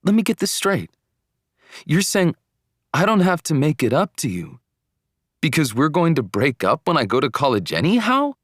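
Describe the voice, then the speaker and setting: A man's voice: lowish voice, sing-song, normal speed, pauses often, fairly bright tone, no echo, studio quality. A man voicing a hurt, indignant teenage boy arguing in an audiobook story. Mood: indignant, upset.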